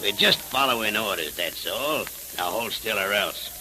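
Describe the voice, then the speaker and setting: An American actor playing a gruff, folksy gangster henchman with slangy, mock-soothing tough talk in 1930s radio style. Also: lowish voice, lively intonation, normal speed, pushed and bright. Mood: mock-reasonable. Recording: noisy, hissy 1930s radio transcription.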